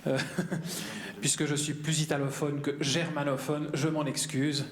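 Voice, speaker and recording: A man's voice: fairly high pitch, measured pace. A man, speaking for the cinematheque, opens the evening with a hesitant, lightly self-mocking welcome. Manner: hesitant, self-deprecating, chuckling. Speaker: cinematheque representative. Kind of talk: welcome speech. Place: cinema hall. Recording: echoey hall with faint noise.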